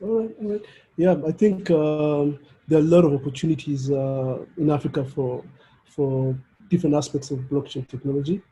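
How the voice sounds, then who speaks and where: A man interviewing a guest in a casual conversational style, with hesitant, halting phrasing. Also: fairly high pitch, dark tone, rough recording. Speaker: interviewer, summit organizer.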